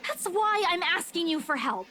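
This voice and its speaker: A woman's voice: extremely high pitch, big pitch swings, normal speed, sharp tone, slight background noise, heavily acted. An actress playing a desperate young woman pleading for help in a horror video game. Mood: urgent. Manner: urgent, insistent.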